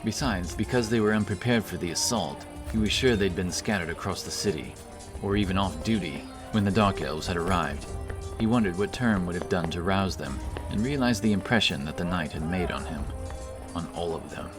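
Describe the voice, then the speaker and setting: A man narrating a scripted fantasy audiobook in a measured, dramatic way. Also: sits low. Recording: music bed under voice.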